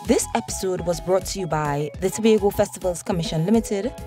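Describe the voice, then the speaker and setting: A woman's voice: on the low side, a little fast, mellow, faint music behind. A woman reading scripted broadcast announcements as the announcer of a radio drama.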